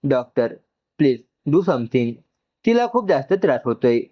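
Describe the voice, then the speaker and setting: A man's voice: mid-range voice, big pitch swings, moderate pace, muffled recording. A man voicing an anxious young man in a dramatic story, pleading and agitated in his fear for a woman. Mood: desperate pleading.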